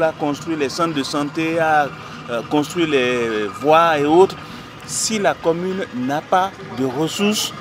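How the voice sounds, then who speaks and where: A man with a Beninese French accent gives formal, measured interview answers as an association president, often pausing mid-phrase. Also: fairly high pitch. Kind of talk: interview answers, explaining a project. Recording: noisy outdoor urban recording, traffic behind.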